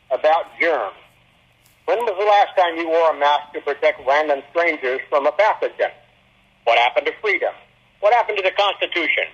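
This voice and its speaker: A man with a fairly high, forceful voice and a husky edge chairs a public meeting. The sound is dull and lo-fi. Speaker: board chair. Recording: dull, muffled teleconference audio.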